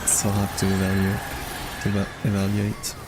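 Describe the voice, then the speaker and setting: German streamer guy w/ a german accent, kinda low voice, rambling to chat, music playing under it. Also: monotone, brisk, low-quality recording. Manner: musing, thinking aloud. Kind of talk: casual chat with viewers while gaming. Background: game music.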